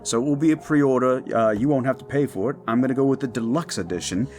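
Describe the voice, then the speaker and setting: A man's voice: mid-range voice, fast talker, darkish tone, faint music behind. A man who makes audio roleplays, speaking in an upbeat, informal way as he gives a casual announcement to his followers.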